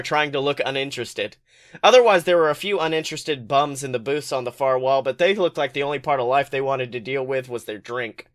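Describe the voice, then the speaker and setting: A man with a slightly high voice narrates a story aloud for a podcast. His delivery is colloquial and animated, like a host sharing fan fiction.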